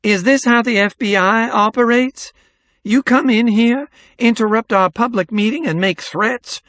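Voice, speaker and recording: A man acts out an imperious woman official in a storybook drama. She speaks far higher than a narrator's voice, shrill, haughty and full of indignant demands. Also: measured pace, a bit bright, no echo, clean studio sound.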